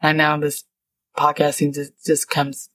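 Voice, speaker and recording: A woman's voice: low-pitched, monotone, no room sound. A middle-aged woman co-hosting a podcast with her daughter, explaining a Bible word study in an easygoing, explanatory way. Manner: warm, explanatory, reads definitions slowly. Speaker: podcast co-host, Bible teacher.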